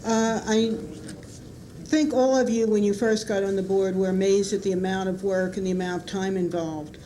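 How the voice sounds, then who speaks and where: A woman with a bad cold and a weak voice, giving a public comment at a school board meeting in an earnest, measured way. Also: medium pitch, steady tone, mellow, low-quality recording. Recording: 1990s public-access broadcast with background hiss.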